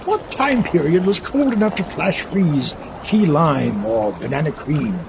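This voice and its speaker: High-pitched theatrical mad scientist. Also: male voice, lively intonation, unhurried, creaky. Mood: boastful, scheming. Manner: pompous, flowery wording, exclamatory. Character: eccentric pompous mad scientist villain, theatrical and scheming. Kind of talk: comedic audio drama acting. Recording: muffled lo-fi audio over rumbling background noise.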